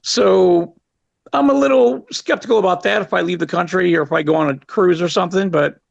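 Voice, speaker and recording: A man with a Chicago accent, a podcast guest, talking casually with halting restarts and fillers. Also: high-pitched, gravelly. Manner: halting, hesitant, many fillers. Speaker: guest, content creator. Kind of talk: casual panel chat. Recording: dull lo-fi sound.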